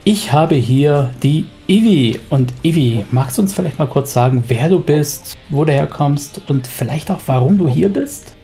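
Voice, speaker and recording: A man hosting a German-language podcast, asking his guest questions in a relaxed, chatty way. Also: fairly high pitch, moderate pace, creaky, hardly any pauses, faint music behind. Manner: chatty, friendly questions with fillers. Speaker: podcast host. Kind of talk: casual interview.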